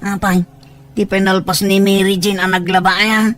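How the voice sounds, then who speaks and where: An actor playing a naive, bashful, lovestruck young village bachelor in a comic audio drama. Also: male voice, high voice, little pitch movement, normal speed, fairly bright tone, slight background noise. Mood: sheepish.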